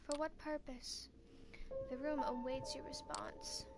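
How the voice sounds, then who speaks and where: High-voiced woman reading a story game. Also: huge pitch swings, takes it slowly. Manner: second-person narration, with light asides. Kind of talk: reading game text aloud. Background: loud game music.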